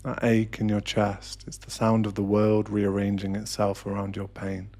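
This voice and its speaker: A man narrating a scripted ASMR guided meditation in a hushed, deliberate, intimate and dramatic manner. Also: on the low side, completely flat delivery. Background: music.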